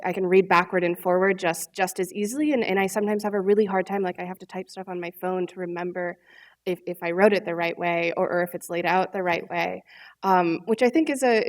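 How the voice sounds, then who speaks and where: A woman from Michigan, a letterpress printer and teacher, talking earnestly on a panel with many restarts. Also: fairly low pitch. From Michigan, United States. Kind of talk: panel discussion answers.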